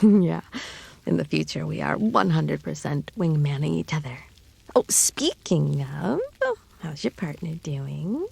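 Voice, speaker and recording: A woman acting a playful, affectionate snake-woman in an intimate roleplay audio, teasing and cozy, often slipping into breathy whispers. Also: sits low, expressive, measured pace, darkish tone, full character voice. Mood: friendly, reflective.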